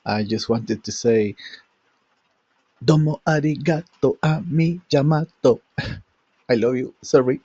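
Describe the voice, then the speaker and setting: A man with a Chilean accent and Spanish as his first language leaves a casual message. He speaks slowly and huskily, on a dry, dull recording. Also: mid-range voice, warm tone, slightly rough, dry recording. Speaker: voicemail caller.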